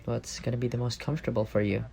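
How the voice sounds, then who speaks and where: A man's voice: mid-range voice, level tone, fast talker, gravelly, mellow, slight background noise, natural voice. A man playing an affectionate, teasing young roommate in an intimate ASMR roleplay, speaking in a playful, tender way. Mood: tender, drowsy.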